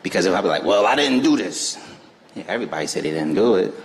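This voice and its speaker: A man's voice: sits low, lively intonation, fast talker, big-room sound, low-quality recording. A man speaking as a guest on a live festival panel, earnest and emphatic, with informal phrasing. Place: festival stage before an audience.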